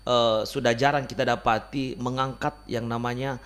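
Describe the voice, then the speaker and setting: A man from South Sulawesi with a Makassarese accent, chatting casually as a podcast guest in an animated, punchy way. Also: mid-range voice, normal speed. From Bulukumba, South Sulawesi, Indonesia. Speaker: guest content creator.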